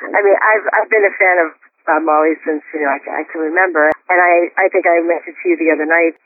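A woman's voice: fairly low pitch, fairly even intonation, fast talker, slightly rough, no room sound. Bubbly woman host on a tinny line. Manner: bubbly, enthusiastic, giggly. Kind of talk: casual interview chat. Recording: tinny phone-line audio.

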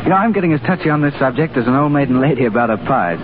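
Through a narrow, crackly-era radio sound with music behind comes an actor as a romantic English diplomat. His delivery is animated, suave and wry, with a restless edge typical of 1930s radio drama. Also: mid-range voice, racing, close to an everyday voice. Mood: restless, irritated. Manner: suave, bantering, questioning tags.

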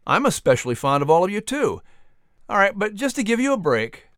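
A man's voice: high-pitched, very animated, a little fast, a bit bright, no echo, studio quality. A man who narrates audiobooks, doing scripted announcing as a podcast host.

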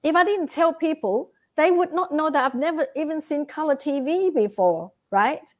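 Woman, Vietnamese accent, earnest, motivational, fairly high-pitched. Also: animated, normal speed. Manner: earnest, keeps checking in with "right?". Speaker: guest. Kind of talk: motivational interview talk.

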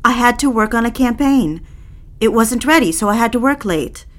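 An actress playing a guilt-ridden, defiant young bride-to-be in an audio drama, brooding and defensive in manner. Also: female voice, on the high side, animated, normal speed, natural voice. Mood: defensive, indignant. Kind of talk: audio drama, confessional first-person narration and dialogue.

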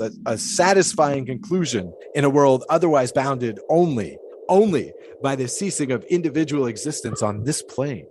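A man's voice: medium pitch, a little mellow, a little hiss or hum, natural voice. An actor playing a grandiloquent, philosophical 1920s showman in an audio drama, musing in wordy, theatrical speeches. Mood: wistful, musing.

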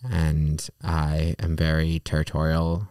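A man's voice: very deep voice, monotone, slow, a little mellow, clear, resonant tone, no echo, clean studio sound. A young man from New York in casual conversation, rambling with lots of "like" and restarts. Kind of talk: casual podcast chat.

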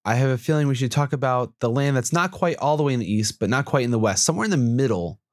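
A man's voice: mid-range voice, expressive, quick pace, no echo, clean studio sound. A man congested from allergies, co-hosting a casual discussion and musing as he corrects himself. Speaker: podcast co-host.

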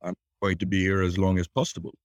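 An older man, answering interview questions in a reflective, halting, conversational way. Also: sits low, monotone, dry recording, clean studio sound. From born in Tanzania, grew up there and in Sweden. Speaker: podcast guest.

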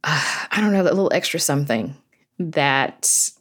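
A woman's voice: deep voice, expressive, moderate pace, fairly bright tone. A woman chatting informally as an interview guest, telling anecdotes in a conversational way with quoted asides. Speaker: podcast guest, horror fiction writer and audio drama creator.

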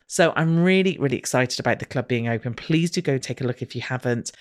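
A woman's voice: a bass voice, animated, fast talker. Chatty upbeat woman podcast host. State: slightly croaky voice. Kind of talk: casual podcast monologue and interview.

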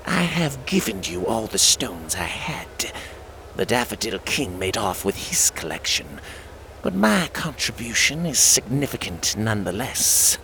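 A man voicing a scheming female fairy queen who speaks slowly and softly to her dark master. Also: lowish voice, very animated, creaky, slight background noise, full character voice, low-quality recording. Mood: deferential.

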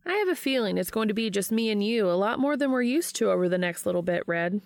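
Woman reading as a wry young guy friend, grudging but protective, creaky audiobook voice, dry sound. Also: mid-range voice, lively intonation, fast, no room sound, pitched above the performer's own voice.

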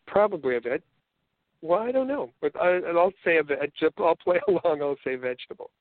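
Canadian male co-host, rambling, halting, slightly high voice, dry phone-quality audio. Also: sing-song, no room sound. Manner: rambling, halting with many restarts. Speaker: podcast co-host. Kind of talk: casual chat. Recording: tinny phone-like line.

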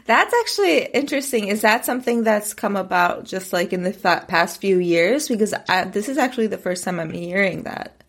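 A woman's voice: mid-range voice, expressive, fairly quick, fairly bright tone. A woman hosting a podcast interview, talking in a candid, chatty and self-deprecating way. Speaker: podcast host. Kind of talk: casual interview conversation.

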